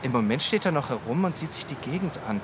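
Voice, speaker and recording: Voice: mid-range voice, expressive, fast, noisy background, close to an everyday voice. An actor voicing a playful know-it-all young zebra detective in a children's audio drama, theatrical and fond of mock-precise long words. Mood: observant.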